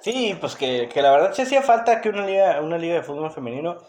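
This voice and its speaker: A man's voice: fairly high pitch, animated, fast, a little mellow. A man with a Mexican accent chatting casually about sports as a podcast co-host, emphatic and opinionated, often repeating himself. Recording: echoey room, noticeable background noise.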